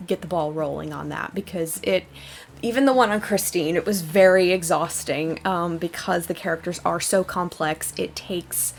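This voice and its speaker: Unhurried, low-voiced young woman. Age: young adult. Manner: hesitant, rambling, thoughtful. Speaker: video host answering viewer questions. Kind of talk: casual fan Q&A talk.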